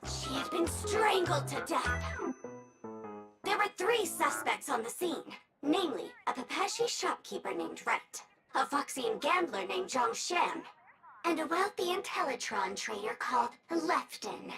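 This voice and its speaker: A woman's voice: high voice, very animated, a little slow, heavily acted, rough recording. A woman voicing a playful, mischievous young game character with a theatrical, teasing manner. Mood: mock-solemn narrating. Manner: theatrical, teasing, speaks of herself in third person. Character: playful mischievous young woman staging a mock murder mystery. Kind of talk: video game character acting. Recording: loud music behind voice.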